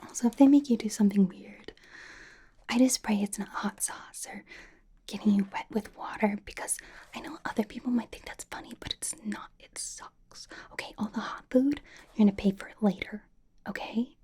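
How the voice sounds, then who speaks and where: Bubbly young female K-pop idol, played by a woman, ASMR roleplay. Whispered, mellow, casual, confiding and chatty. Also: medium pitch. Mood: friendly, confiding. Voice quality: breathy, mostly whispered. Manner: rambling, casual, confiding, with drawn-out emphasis. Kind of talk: ASMR personal attention roleplay.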